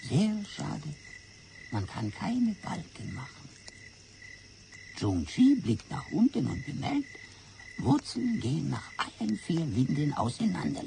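A man's voice: fairly high pitch, wildly swooping pitch, slow pace, gravelly, mellow, slight background noise, exaggerated voice. A man playing a solemn Chinese storyteller in a radio play, speaking broken German with swapped r and l sounds. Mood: solemn storytelling. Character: Chinese sage-like man telling an old fable, solemn.

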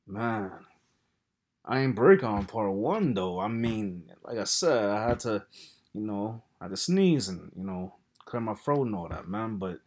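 A man in his thirties chatting casually and colloquially to viewers as host of a reaction video. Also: on the low side. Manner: relaxed, colloquial address to viewers. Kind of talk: casual vlog commentary. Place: indoor room at a computer.

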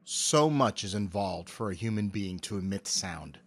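A middle-aged man giving articulate, measured explanations as a voice actor being interviewed. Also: on the low side, animated, fairly bright tone.

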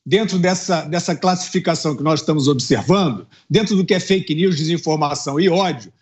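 A man's voice: on the high side, a little fast. A middle-aged man giving indignant political commentary on a news show over a video call, talking with earphones from a room at home, with frequent hesitations and tag questions. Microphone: earphones with mic on a video call.

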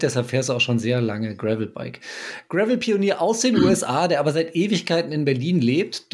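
A man in his thirties hosts a podcast from home over a video call. His voice is a bit husky, and he teases his guest and drives the questions. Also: on the high side. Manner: lively, joking, leads the questions. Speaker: podcast host. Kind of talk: casual chat.

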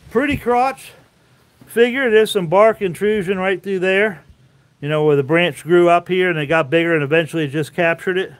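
A man in his fifties chatting casually and unhurriedly to viewers as he works in an open outdoor sawmill shed, explaining as he goes. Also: high-pitched. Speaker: host, sawmill woodworker. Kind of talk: casual live vlog while working. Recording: outdoor workshop noise, varying distance from mic.

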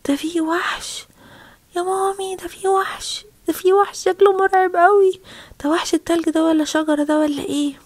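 Woman playing a high-pitched, frightened little Egyptian girl. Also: little pitch movement, fast, few pauses, no room sound, lightly acted. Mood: frightened, pleading. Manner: pleading, exclaiming. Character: frightened little girl, whiny, insistent, excitable. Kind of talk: children's story acting.

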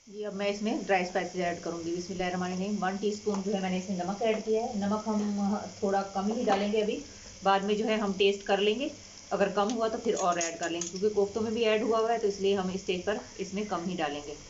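Calm woman explaining a recipe. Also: mid-range voice, fast, lo-fi sound, a little hiss or hum. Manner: calm step-by-step explaining with fillers. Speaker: cooking channel host. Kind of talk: recipe instruction over cooking footage. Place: home kitchen.

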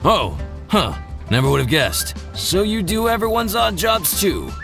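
A burly, folksy game sailor. His pitch swings wildly from phrase to phrase, with a creaky edge. He sounds hearty and easygoing. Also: huge pitch swings, unhurried, music in the background, full character voice. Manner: jovial, folksy, searching for words. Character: burly, hearty, easygoing ship's first mate. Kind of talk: video game cutscene dialogue.

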